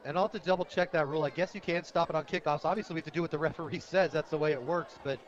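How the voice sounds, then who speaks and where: An American man doing live radio play-by-play of a football game in an energetic, conversational way. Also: a little high, very fast, muffled recording. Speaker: radio play-by-play sports announcer. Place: outdoors at a high school football game. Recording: outdoor stadium broadcast with crowd noise. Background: crowd at a stadium.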